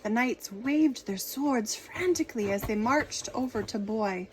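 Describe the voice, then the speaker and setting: Female children's storyteller, very slow delivery, expressive and dramatic, slight background noise. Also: a little high, rough recording. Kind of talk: expressive read-aloud narration for children.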